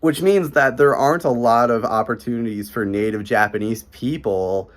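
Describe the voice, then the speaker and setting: A man's voice: medium pitch, moderate pace. Rambling young Midwestern American man. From Chicago, USA. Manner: rambling, heavy "like" and "you know" fillers. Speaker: vlogger. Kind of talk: casual talking-head monologue. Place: small bedroom in an apartment, indoors.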